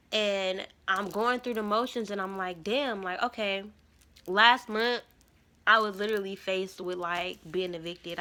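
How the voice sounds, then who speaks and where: Young woman in her 20s, bright clear voice, repeats herself a lot, talks slangy about faith. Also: mid-range voice. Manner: emphatic, repetitive, slangy storytelling. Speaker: spiritual vlogger. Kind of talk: casual spiritual testimony.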